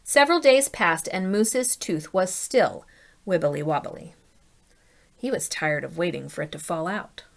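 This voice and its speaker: A woman reading a children's story aloud as a teacher, in an animated, deliberate way, putting questions to her listeners. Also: on the low side, creaky.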